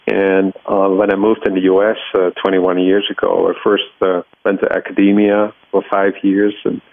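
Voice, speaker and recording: Low, creaky middle-aged Dutch man. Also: little pitch movement, moderate pace, no room sound, narrow, tinny audio. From Netherlands. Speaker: research scientist and laboratory head, interviewee. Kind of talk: explanatory interview answers.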